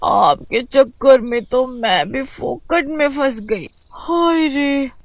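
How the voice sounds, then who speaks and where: A woman's voice: high-pitched, no echo, voice pushed up. A woman voicing a whiny younger sister in a cartoon, drawing out her complaints. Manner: drawn-out whining.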